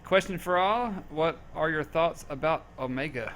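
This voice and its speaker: A man's voice: on the high side, moderate pace, fairly bright tone, a little hiss or hum. Joking man with a Southern accent. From southern United States. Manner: joking, easygoing. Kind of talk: casual group Q&A.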